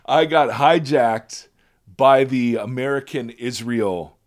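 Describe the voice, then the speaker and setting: A man hosting a podcast in casual chat, his voice raspy from a virus, rambling and self-deprecating, often laughing. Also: medium pitch, takes it slowly. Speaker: podcast host.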